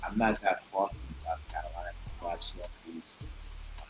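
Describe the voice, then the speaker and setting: A man who grew up in Haiti, a bodywork practitioner being interviewed, speaking softly and agreeably with fillers. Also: sits low, steady tone, low-quality recording. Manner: soft-spoken, agreeable, pauses and fillers. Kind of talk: conversational interview. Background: music playing behind.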